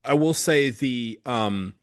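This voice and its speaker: A man's voice: mid-range voice, takes it slowly, no room sound, clean studio sound. A man co-hosting a podcast, talking casually about football kits in a hesitant way with many fillers and restarts. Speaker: podcast co-host. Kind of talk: casual chat.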